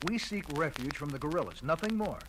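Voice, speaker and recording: An actor playing an earnest, peaceable astronaut seeking refuge in a 1970s audio adventure, speaking directly. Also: male voice, on the high side, expressive, fast, natural voice. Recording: noisy, clicking old vinyl record.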